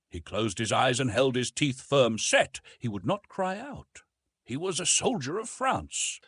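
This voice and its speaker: A man's voice: mid-range voice, fairly bright tone, husky, dry recording, studio quality. A man narrating an adventure novel as an audiobook in a theatrical, suspenseful storytelling style.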